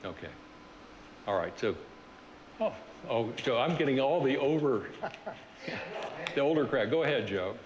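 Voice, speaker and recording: An older man giving a university seminar talk, reflecting on his career in a halting way, full of "uh"s and restarts. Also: mid-range voice, lively intonation, big-room sound. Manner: halting, many fillers and restarts. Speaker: seminar speaker. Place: large university seminar room.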